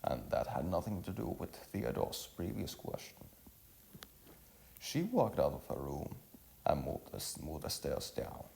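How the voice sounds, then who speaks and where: Very deep-voiced man reading a story. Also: very animated, vocal fry, a little mellow, a little hiss or hum, rough recording. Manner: halting, stumbling over words and repeating phrases. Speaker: narrator and reader of a story. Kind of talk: amateur audiobook narration.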